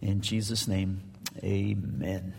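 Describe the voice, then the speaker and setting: A man leading a church service, moving from prayer into Bible teaching. He talks in a casual, halting way, full of "uh"s and restarts. His boomy mic rings out with a long reverb, as if in a can. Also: gravelly, darkish tone, breathy edge. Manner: halting, many fillers and restarts; conversational, anecdotal. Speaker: pastor.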